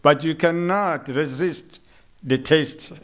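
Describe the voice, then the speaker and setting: Slow, measured South African man preaching. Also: on the high side. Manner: slow, measured, with hesitant restarts and gentle humor. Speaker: monk giving a morning lecture. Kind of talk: sermon. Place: temple hall.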